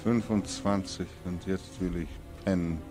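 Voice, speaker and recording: A man's voice: sits low, steady tone, unhurried, warm tone, faint music behind. An actor playing a weary, desperate man in his twenties in a 1940s radio drama. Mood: weary, defiant. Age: 20s. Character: despairing, weary 25-year-old war returnee wanting to die. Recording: vintage 1940s radio recording.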